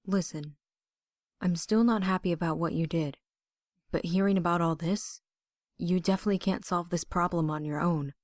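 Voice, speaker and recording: A woman's voice: on the low side, fairly even intonation, normal speed, darkish tone, dry recording, clean studio sound, lightly acted. A woman playing a stubborn, curious young friend in a fan audio drama, casual, frank and determined. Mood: insistent.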